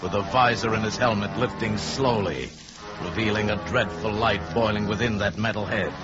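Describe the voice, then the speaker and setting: An actor playing a grave, ominous narrator in a 1950s science fiction radio drama. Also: male voice, on the low side, moderate pace, over loud music, lightly acted. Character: grave, ominous male narrator of alien arrival. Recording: dull old radio recording.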